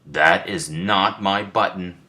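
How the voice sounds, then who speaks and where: A grumpy male toad from a children's storybook, acted out by a man. He is easily exasperated and complains emphatically, stretching his words at a slow pace. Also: low-pitched, pushed and bright, exaggerated voice. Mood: annoyed.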